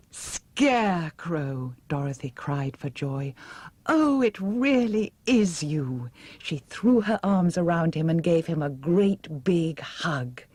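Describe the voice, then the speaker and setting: A woman with a British accent narrating a children's audiobook in an expressive, hushed and suspenseful manner. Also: low-pitched, husky, no room sound. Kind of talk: dramatic children's story narration.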